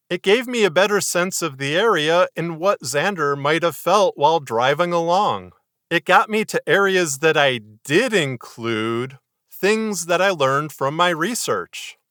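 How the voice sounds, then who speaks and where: A man narrates a scripted lesson on writing in a bright, forceful voice. The sound is very dry and close. He is articulate and measured, stressing his points like a podcaster who writes fiction. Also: a little high, dry recording. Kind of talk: scripted instructional narration to camera.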